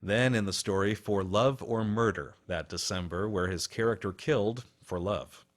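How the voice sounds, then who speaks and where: Male radio host, lowish voice, polished, scripted narration, presenterly. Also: moderate pace.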